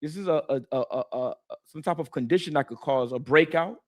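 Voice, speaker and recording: A man co-hosting a Bible study talk, explaining in a casual, animated way and stumbling over words at times. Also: fairly high pitch, brisk. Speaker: co-host teaching scripture.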